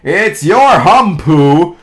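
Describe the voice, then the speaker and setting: Man voicing a timid, lively little storybook piglet. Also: mid-range voice, very slow.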